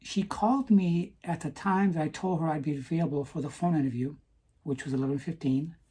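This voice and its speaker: A woman talking earnestly to camera in a personal vlog, stopping to ask "okay?" for emphasis, with some halting restarts. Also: deep voice, warm tone, husky. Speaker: vlogger.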